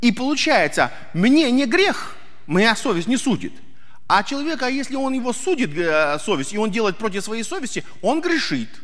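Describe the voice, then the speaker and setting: A man's voice: extremely high pitch, very animated, normal speed, fairly bright tone. A middle-aged man preaching a sermon, explaining earnestly and asking rhetorical questions of the congregation. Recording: hall reverb.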